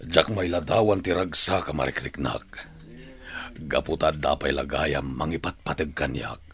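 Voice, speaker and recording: Earnest, gentle male prisoner, Ilocano radio drama; rock-bottom pitch, heavy fry, measured delivery. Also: heavily acted. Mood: grateful. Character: grateful, gentle jailed man being courted.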